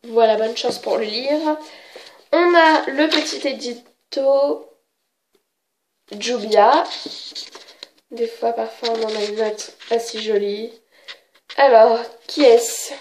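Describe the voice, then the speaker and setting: Woman, slightly high voice, has a cold, chatty show-and-tell, hesitant, faint background noise. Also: slow pace, long pauses, a little mellow, rough recording. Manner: chatty, hesitant, many fillers and self-corrections. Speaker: manga collector showing her books.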